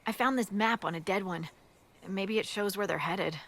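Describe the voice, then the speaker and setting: A woman's voice: mid-range voice, moderate pace, a bit bright. A woman playing a blunt, down-to-earth army scout in a fantasy video game, informal and wry. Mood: matter-of-fact. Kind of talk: video game cutscene acting.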